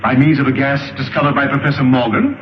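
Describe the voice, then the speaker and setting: An actor playing a grave, learned scientist in an old film serial, with a formal, emphatic, professorial delivery. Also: male voice, mid-range voice, steady tone, quick pace, close to an everyday voice. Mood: amazed. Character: grave, learned male scientist and leader of the future. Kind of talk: 1930s film serial acting. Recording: dull, hissy old film soundtrack, roomy.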